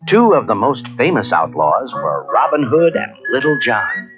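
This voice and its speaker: A man narrates a children's read-along story with a very animated, mid-pitched voice. His delivery is measured and expressive. The recording sounds like a slightly dull cassette, with music faintly behind him.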